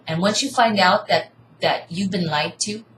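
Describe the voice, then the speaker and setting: A woman's voice: lowish voice, moderate pace. A woman in a casual debate, talking earnestly and insistently, almost preaching. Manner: earnest, insistent, preachy about seeking truth. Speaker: co-host debating. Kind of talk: casual argument. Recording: echoey room, faint hiss.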